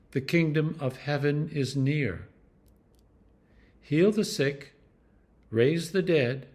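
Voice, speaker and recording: A man with a medium-pitched voice reads scripture as a member of the clergy would. His delivery is solemn and deliberate. Each phrase is measured and set apart with care. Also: darkish tone.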